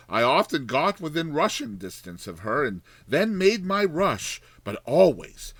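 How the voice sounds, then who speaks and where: A man's voice: mid-range voice, fairly bright tone, no echo. A man narrating a classic short story as a dramatized audiobook, with theatrical, emphatic phrasing.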